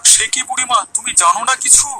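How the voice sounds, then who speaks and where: A man playing an eager, devout young villager in a cartoon story, earnest and excitable. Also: very high-pitched, quick pace, over music. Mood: eager, informative. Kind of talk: Bengali animated moral story acting.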